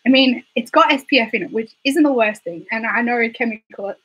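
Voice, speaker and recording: A woman hosting a casual beauty livestream, hoarse from being sick, chatty and rambling with giggly asides. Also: on the high side, brisk, fairly bright tone, rough recording. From Australia. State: hoarse, recovering from illness. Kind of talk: casual livestream chat reading viewer comments. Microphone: desktop microphone.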